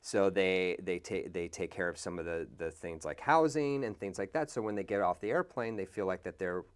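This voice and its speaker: Male teacher, low voice, relaxed and rambling, casual interview. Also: moderate pace, clean tone. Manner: relaxed, rambling, frequent restarts and laughs. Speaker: English teacher.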